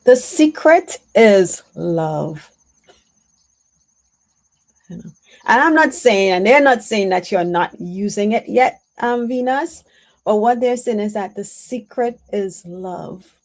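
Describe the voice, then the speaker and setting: Slow, halting woman medium. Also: medium pitch, animated. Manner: slow, reflective, halting with frequent "um"s. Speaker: intuitive medium on a live stream. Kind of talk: live-stream spiritual reading, answering chat.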